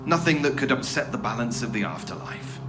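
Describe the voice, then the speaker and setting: A man's voice: medium pitch, very animated, a little fast, noisy background, close to an everyday voice. A man playing an impatient, sarcastic interrogator for a secretive organization in an audio horror drama. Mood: uneasy, dismissive.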